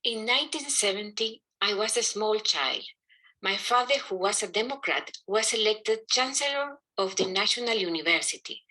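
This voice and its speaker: A middle-aged woman with a Guatemalan accent reading a prepared presentation in a measured, formal manner. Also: medium pitch, animated, pushed and bright, rough recording. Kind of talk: scripted narration.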